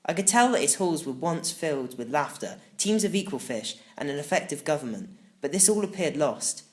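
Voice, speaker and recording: A man reading a children's audiobook in the first person, with expressive, emphatic scripted storytelling. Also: a little high, fairly quick. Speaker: audiobook narrator.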